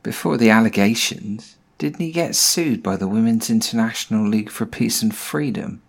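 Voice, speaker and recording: A man's voice: on the low side, normal speed, creaky. A man playing a blunt, mercenary assassin with a dry, flippant manner in a comedic audio drama. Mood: dry, sarcastic.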